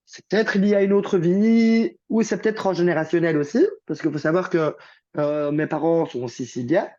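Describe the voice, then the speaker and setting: A man's voice: a little high, warm tone, no echo. A young man talks as a guest, rambling from one thought to the next with plenty of fillers and restarts. The recording sounds muffled and lo-fi. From Belgium. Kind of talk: casual conversation.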